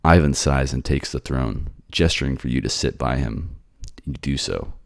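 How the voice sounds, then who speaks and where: A man's voice: a bass voice, normal speed, gravelly, no room sound, clean studio sound. A man narrating a scripted second-person fantasy drama as a storyteller. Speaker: narrator and voice actor.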